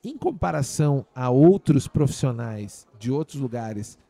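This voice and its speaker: Brazilian male podcast host, mellow, loose chatty delivery. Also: medium pitch, very animated, unhurried, gravelly, no echo. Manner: chatty, jokey, asks long winding questions. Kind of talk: casual podcast interview. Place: studio.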